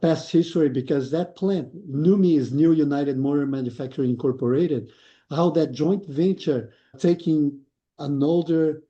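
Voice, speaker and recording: Male guest, thoughtful and explanatory, very dark tone, lo-fi audio. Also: on the high side. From Brazil. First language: Portuguese. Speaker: guest, lean consultant. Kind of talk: podcast interview.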